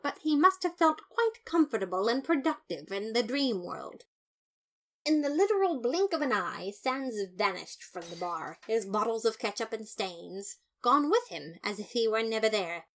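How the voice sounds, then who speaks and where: A woman's voice: medium pitch, a bit bright. A woman voicing an earnest, boastful male skeleton detective who narrates his case in a fan audio drama, theatrical and emphatic. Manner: theatrical, self-satisfied, emphatic.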